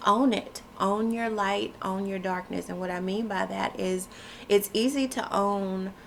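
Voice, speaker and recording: Stuffy-nosed woman, gravelly and slow. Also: medium pitch, fairly even intonation, a little hiss or hum. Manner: chatty, loose, many fillers, laughs often. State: stuffy nose, froggy voice. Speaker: wellness vlogger. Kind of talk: casual talk to camera. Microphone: camera mic.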